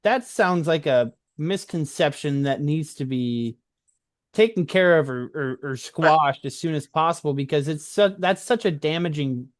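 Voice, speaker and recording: A man's voice: on the high side, a little slow, no echo, clean studio sound. A man in a casual conversational interview, talking earnestly as a host who shares his own experience and often checks for agreement.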